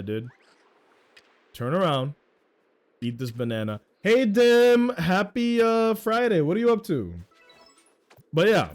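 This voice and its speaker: A young man with a naturally loud voice chats his way through a game stream. He rambles, swears freely and pokes fun at himself, in an ordinary mid-range pitch. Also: clear, resonant tone. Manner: rambling, profane, self-mocking. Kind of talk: casual live-stream chat while gaming.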